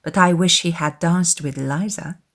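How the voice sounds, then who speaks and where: A woman voicing a self-assured, teasing young gentlewoman in a dramatized classic novel, with a measured and knowing manner. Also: on the low side, voice pushed down. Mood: reasonable.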